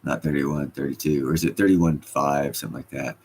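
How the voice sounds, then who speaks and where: Casting: deep-voiced man with a husky edge and a faint Scottish accent, loose, profane livestream host. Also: steady tone, a little fast, darkish tone. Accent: slight Scottish. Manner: profane, laughing, loose and joking. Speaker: livestream host reading chat. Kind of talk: casual rambling livestream chat.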